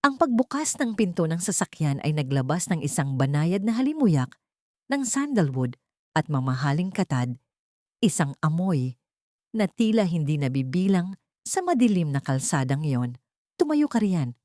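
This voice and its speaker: A woman narrates a dramatic love story from a script. Her voice sits a little low, and her storytelling is solemn and measured. The recording is dry, with very little room sound. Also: sing-song, dark tone, no echo.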